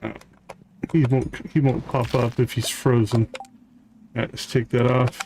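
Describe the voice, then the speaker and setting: A man's voice: mid-range voice, flat delivery, unhurried, a little mellow, slight background noise. Man casually narrating his live gameplay. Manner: thinks aloud while playing. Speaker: host of a live game stream.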